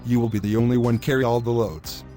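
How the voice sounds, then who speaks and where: A man acting a pushy, low-voiced selfish boyfriend over music. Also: steady tone, fast talker, warm tone, close to an everyday voice. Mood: dismissive, evasive. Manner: formal wordy phrasing, insistent. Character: pushy, selfish young boyfriend pressuring his girlfriend. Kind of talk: scripted moral-story dialogue.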